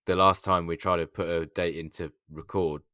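A man with a hoarse, gravelly voice, co-hosting a podcast in casual chat, laughing a lot and stumbling over his words. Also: sits low, level tone, moderate pace, pushed and bright, dry recording, studio quality. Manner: laughs often, stammering restarts. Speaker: podcast co-host.

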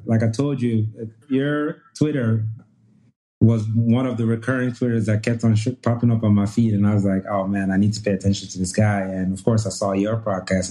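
A man from Nigeria in his thirties, a guest pulmonologist who tells his story in a relaxed way, often restarting and repeating phrases. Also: lowish voice, poor audio.

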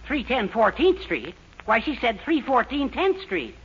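A man's voice: extremely high pitch, wildly swooping pitch, measured pace, a bit bright, exaggerated voice. An actor playing a fussy, exasperated older man in a 1940s radio comedy, exclaiming and explaining with dismay. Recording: muffled old radio, studio audience, noise.

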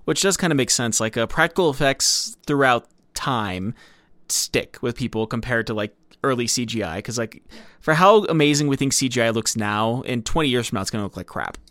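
A man with an average-pitched voice discusses a movie as a podcast co-host. His delivery is casual and explanatory. The recording is dry and free of room sound. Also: lively intonation, a little fast, a bit bright, dry recording. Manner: conversational, explanatory.

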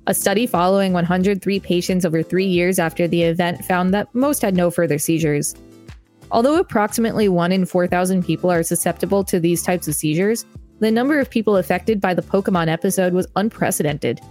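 A woman's voice: fairly low pitch, darkish tone, soft background music. Woman co-host reading aloud, wry reactions. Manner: wry, quick reactions between read passages. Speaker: podcast co-host. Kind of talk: reading an article aloud and chatting.

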